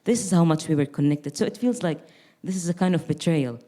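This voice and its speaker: Low-voiced young woman, earnest and reflective. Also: animated, brisk, mellow. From Gaza Strip. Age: young adult. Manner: reflective, earnest, with frequent restarts. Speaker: artist. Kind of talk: panel conversation.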